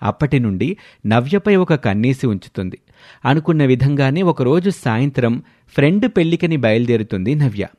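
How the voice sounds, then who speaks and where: A man's voice: mid-range voice, expressive, normal speed, a little mellow, dry recording. A man narrating a scripted family story as a storyteller.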